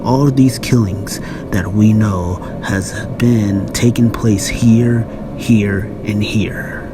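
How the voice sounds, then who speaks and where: A man's voice: lowish voice, steady tone, takes it slowly. A man voicing a formal, polite chancellor in a fan audio drama. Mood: concerned. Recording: loud music bed under voice.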